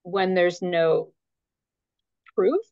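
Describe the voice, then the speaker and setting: Woman, editor guest, measured and thoughtful, dry muffled recording. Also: fairly low pitch, darkish tone, no echo. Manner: thoughtful, measured, some hesitations. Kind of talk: conversational interview. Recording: dull, dry, lo-fi sound.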